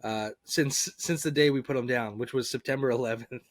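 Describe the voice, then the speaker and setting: Comic dude on a podcast, chill storyteller, says dude constantly, dry audio. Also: male voice, medium pitch, a little fast, no room sound. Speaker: comedian guest. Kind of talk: casual chat.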